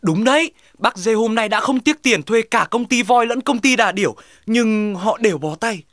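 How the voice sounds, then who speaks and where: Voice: mid-range voice, expressive, normal speed, fairly bright tone, natural voice. An actor voicing a reluctant, grumbling animal in a comic cartoon dub. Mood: resigned. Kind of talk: Vietnamese children's cartoon dubbing.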